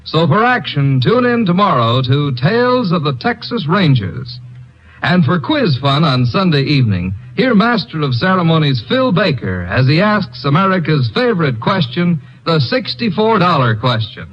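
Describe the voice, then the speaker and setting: A man's voice: fairly high pitch, big pitch swings, normal speed. A man announcing network promos in a 1950s radio broadcast, in an upbeat, emphatic way. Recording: dull old radio broadcast with music.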